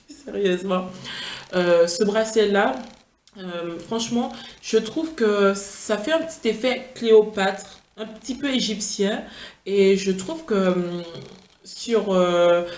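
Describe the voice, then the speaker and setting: A woman's voice: medium pitch, darkish tone. Chatty, tired woman in an echoey room. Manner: chatty, playful, gushing with enthusiasm. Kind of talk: casual product-review vlog.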